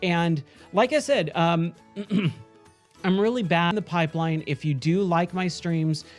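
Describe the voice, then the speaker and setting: A man streaming late at night, chatting casually with viewers in a hoarse voice that is going. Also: fairly high pitch, slow, faint music behind. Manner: chatty, rambling, frequent self-corrections. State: hoarse, losing his voice.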